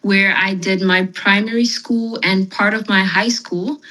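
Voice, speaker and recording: A woman's voice: mid-range voice, steady tone, measured pace, pushed and bright, low-quality recording. A young woman who grew up in southern Africa, answering casually as a podcast guest. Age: young adult.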